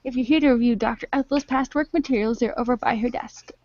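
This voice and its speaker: A woman's voice: fairly high pitch, fast, darkish tone, lo-fi sound, natural voice. A woman playing a polite, formal, helpful male office worker in an improvised radio play. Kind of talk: improvised audio role-play.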